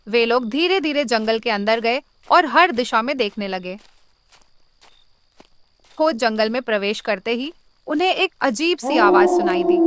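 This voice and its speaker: A woman's voice: on the high side, fairly bright tone, a little hiss or hum. A woman reading a scripted moral tale as a storyteller.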